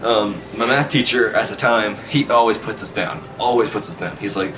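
A young man with a fairly low voice tells stories casually and brashly, swearing and repeating himself as he goes. He is some way from the mic, with music clearly playing behind him. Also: normal speed. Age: teenager or young adult. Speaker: vlog host telling school stories. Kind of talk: casual storytelling chat. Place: small bedroom, indoors. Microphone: camera mic at a distance. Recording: distant mic with loud music.

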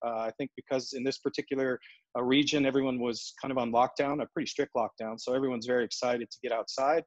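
A man in his forties, a golf operations executive, answering interview questions over a video call in a steady, businesslike way with frequent fillers and restarts. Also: medium pitch, quick pace, dry recording. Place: home office in front of a brick wall. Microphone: earbuds on a video call. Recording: dull, dry video call audio.

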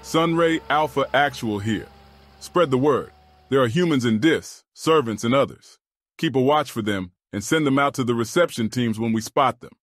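A man's voice: mid-range voice, moderate pace, no echo, studio quality, lightly acted. A man playing a firm, decisive army colonel in an audiobook drama, giving orders with authority. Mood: commanding.

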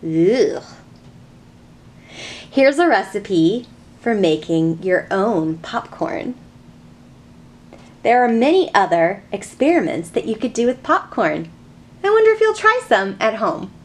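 A woman's voice: mid-range voice, big pitch swings, slow, pauses often. A young woman hosting a children's storytime, explaining things simply in a sing-song way to small children.

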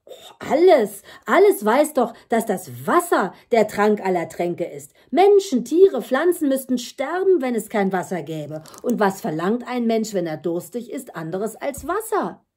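A woman acts out a clever, impudent shepherd lad in a storybook tale. He talks in a raised, slightly husky voice with a mellow tone, emphatic and full of disbelief. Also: fairly high pitch, measured pace. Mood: incredulous, emphatic. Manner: lively, emphatic, incredulous. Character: cheeky, clever shepherd boy called Little Devil.

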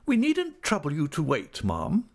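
A man voicing a stern, brusque elderly great-aunt in a storybook, with a crisp, commanding manner. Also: a little high, huge pitch swings, measured pace, pitched above the performer's own voice, heavily acted. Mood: brisk, firm. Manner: crisp, commanding, bluntly disapproving.

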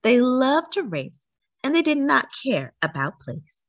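A woman reading a children's book aloud as a storyteller, with expressive, emphatic delivery for young listeners. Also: sits high, a little slow, no echo.

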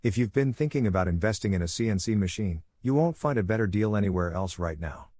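Low-voiced man reading a dry voice-over. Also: lively intonation, quick pace, a little mellow, dry recording. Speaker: narrator. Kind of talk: scripted voice-over narration.

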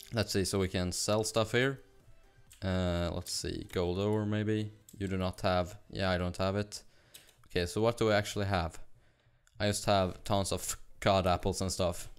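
A young man from Sweden with a Swedish accent, chatting fast and rambling with laughs while narrating his gameplay. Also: low-pitched, smooth. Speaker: gaming YouTuber. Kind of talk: casual gameplay commentary.

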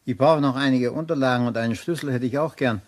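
An actor playing a tactful, businesslike gentleman friend in a radio crime drama, speaking in a measured, solicitous way. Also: mid-range voice, close to an everyday voice.

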